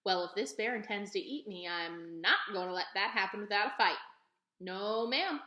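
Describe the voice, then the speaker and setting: A woman's voice: mid-range voice, moderate pace, sharp tone, dull audio, natural voice. A woman voicing a proud, folksy elderly librarian in a storybook read-aloud, speaking with emphatic repetitions. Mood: dramatic. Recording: echoey room.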